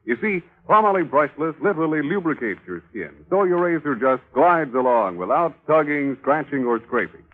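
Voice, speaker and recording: A man reading a polished sales pitch as a commercial announcer in 1940s radio style. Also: very animated, moderate pace, hardly any pauses. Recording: muffled 1940s radio recording.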